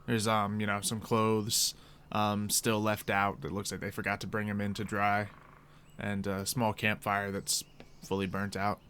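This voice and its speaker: A man improvising casual tabletop game narration as game master in a halting, conversational way. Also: lowish voice, flat delivery, fairly bright tone. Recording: clear background noise with insect sounds.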